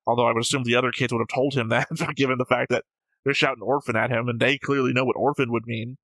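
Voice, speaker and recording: Blunt man, bright forceful voice. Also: mid-range voice, flat delivery, quick pace, dry recording. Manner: blunt, analytical, flippant. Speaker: host of a show reaction video. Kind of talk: casual opinionated discussion.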